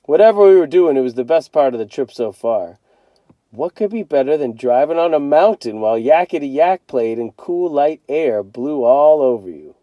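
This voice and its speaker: Expressive man reading a story, very animated. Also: fairly high pitch, moderate pace, no echo, highs cut off. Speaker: teacher reading a novel aloud to students.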